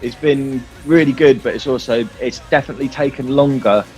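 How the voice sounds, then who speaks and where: Male musician interviewee, mid pitch, candid and informal, faint music bed. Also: a little fast, darkish tone. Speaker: band musician being interviewed. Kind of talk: casual interview chat.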